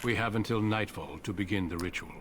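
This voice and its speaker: An actor playing a grave, secretive male warrior commander in a video game, speaking in a measured, solemn way. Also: on the low side, fairly bright tone, a little hiss or hum, close to an everyday voice. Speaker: veteran Grey Warden commander.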